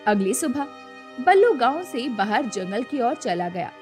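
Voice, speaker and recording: A woman's voice: fairly high pitch, big pitch swings, measured pace, soft background music. A woman narrating a scripted story in a dramatic storytelling style.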